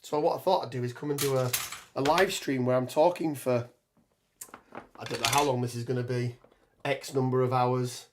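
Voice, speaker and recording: A man with a hoarse voice from a cold, chatting on a live stream as he builds. He rambles, restarts sentences and fills pauses with ums. His pitch sits in a middle range. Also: fairly even intonation, background noise. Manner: rambling, many ums and restarts, reads chat aloud. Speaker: tabletop gaming streamer. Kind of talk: casual live stream chat while building terrain.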